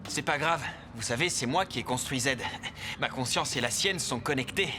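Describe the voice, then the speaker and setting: A man's voice: medium pitch, moderate pace, noisy background, natural voice. A man voicing an amiable, boastful robot inventor in a French cartoon dub, explaining confidently. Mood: confident boasting. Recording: music behind.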